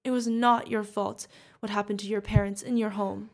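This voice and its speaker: A woman's voice: medium pitch, flat delivery, moderate pace, creaky, close to an everyday voice. A woman playing a fierce yet tender witch mentor who is protective of an adopted pupil, in an emotional fantasy audio roleplay. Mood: gentle, soothing. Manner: emotional, commanding, affectionate, pleading. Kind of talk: ASMR fantasy roleplay acting.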